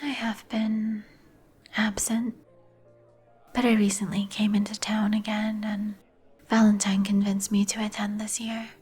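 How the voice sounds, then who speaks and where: A woman playing a reserved, polite vampire heir in an ASMR roleplay, with a whispery, breathy voice and a guarded, formal manner. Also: mid-range voice, little pitch movement, measured pace, a little mellow, soft background music. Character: reserved female vampire heir who shuns crowds.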